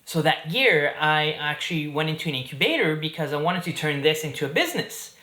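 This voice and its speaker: A man's voice: a little high, lively intonation, a little fast, sharp tone, roomy sound. A man from Belgium in his thirties, hosting a casual talk to camera in an upbeat, chatty way. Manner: upbeat and chatty, with fillers and jokes. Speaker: host.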